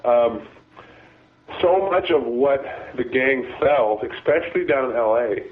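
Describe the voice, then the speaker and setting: A man, a former deputy sheriff, talking as a guest with a raspy voice from a cold, halting and restarting his sentences. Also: mid-range voice, animated. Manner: halting, many restarts and repeated words. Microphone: phone. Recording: phone line.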